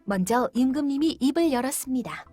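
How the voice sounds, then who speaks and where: A woman reads a children's fairy tale aloud as narrator, in a gentle, steady storytelling manner. Her voice is high, with soft music playing underneath. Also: brisk.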